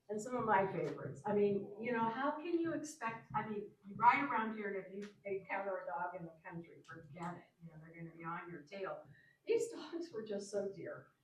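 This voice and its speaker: A woman giving an informal slideshow talk to a group, chatty and expressive with casual asides. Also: medium pitch. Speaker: presenter of a travel slideshow. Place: echoey room, recorded from a distance. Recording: echoey room, distant microphone.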